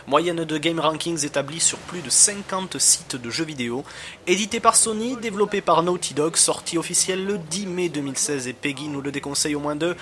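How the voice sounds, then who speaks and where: A man giving casual, halting commentary as he plays and reviews a game. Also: fairly high pitch, sharp tone. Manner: hesitant, halting.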